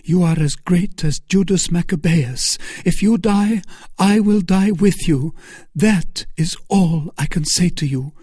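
A man voicing a passionate, scheming noblewoman who flatters and pleads fervently in a storybook reading. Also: high voice, measured pace, breathy edge, no echo, clean studio sound, pitched above the performer's own voice.

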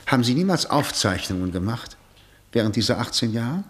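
An actor playing a formal, insistent senior prosecutor in a radio drama, questioning like a stern interrogator. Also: male voice, mid-range voice, very animated, fairly quick, a little hiss or hum, natural voice. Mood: inquiring. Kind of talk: 1960 German radio drama acting.

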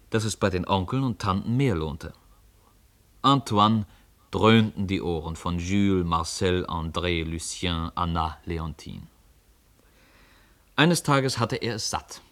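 Tiefer männlicher Hörspiel-Erzähler. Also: male voice, deep voice, fairly bright tone. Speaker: narrator. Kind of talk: scripted storytelling narration for radio drama.

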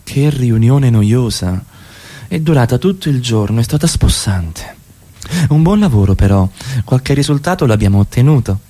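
A man's voice: mid-range voice, expressive, normal speed, mellow, lightly acted. A man acting in radio theatre as a blunt, teasing middle-class husband, with an emphatic, insistent delivery. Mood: polite, probing.

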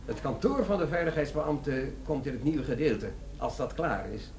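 An officious middle-aged manager with an animated, swooping delivery. He is formal and condescending, in an echoey radio-drama room. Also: fairly high pitch, moderate pace. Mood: coolly polite. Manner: formal, condescending, dryly polite. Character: officious, dry, sceptical middle-aged male company boss. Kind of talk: comic crime radio play acting. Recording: echoey room, faintly noisy radio recording.

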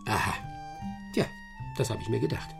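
An actor playing a self-assured, boastful English master detective in a German radio play, pompous, brisk and commanding. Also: male voice, fairly low pitch, animated, creaky, over music. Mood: polite, musing.

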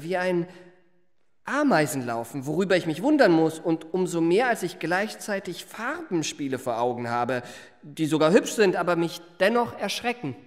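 A man's voice: high-pitched, dry recording, studio quality. An actor playing an eloquent, well-bred young confidence man in a radio play, speaking in ornate, elaborately polite phrases. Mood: earnest, flustered. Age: young adult. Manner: ornate, elaborately polite, self-regarding diction. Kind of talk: literary radio play narration and dialogue.

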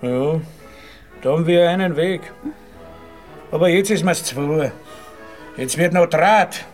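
An actor playing an offhand, breezy young soldier with a Viennese accent in a radio play. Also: male voice, fairly high pitch, slow pace, soft background music. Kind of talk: radio play acting in dialect.

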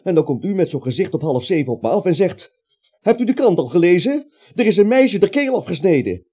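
A timid, put-upon businessman in a radio play. The voice swings widely in pitch and has a soft, mellow tone as he stammers and grumbles. The recording is dull and lo-fi. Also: big pitch swings, a little fast, breathy edge, exaggerated voice. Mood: defensive, exasperated. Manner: stammering, whining, protesting. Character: timid, grumbling, flustered male businessman roused at night. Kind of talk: comic radio drama acting.

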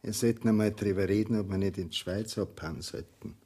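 An actor playing a gruff, uneasy man, a banker's companion, in a radio play, with a very husky voice. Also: fairly low pitch, steady tone, a little fast, warm tone.

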